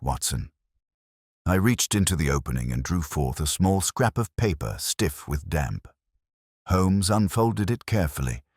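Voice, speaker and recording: A man reading a scripted first-person detective story in a measured, dramatic storytelling manner. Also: deep voice, dry recording, studio quality. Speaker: audiobook narrator.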